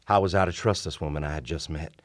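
A low, deep male voice as a doomed gentleman soldier in a radio play. He is grave and formal, with old-fashioned courtesy, telling his haunted tale as both narrator and character. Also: fast. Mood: smitten, earnest. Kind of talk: radio drama acting and narration. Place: small indoor radio studio. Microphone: shared vintage-style studio mic on stand.

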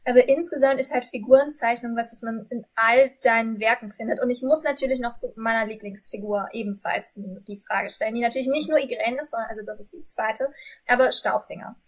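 Higher-voiced young woman interviewing. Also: steady tone, a little fast. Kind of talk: conversational interviewing. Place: room with bookshelves, video call. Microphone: video call.

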